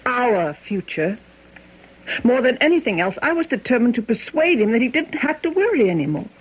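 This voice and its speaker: A woman's voice: fairly high pitch, sing-song, moderate pace, husky. A woman playing a devoted, grieving wife in a radio mystery, genteel and emotional, stubbornly refusing to give up hope. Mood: defensive, distressed. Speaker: radio drama actor. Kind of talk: old-time radio mystery acting. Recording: muffled old radio drama recording.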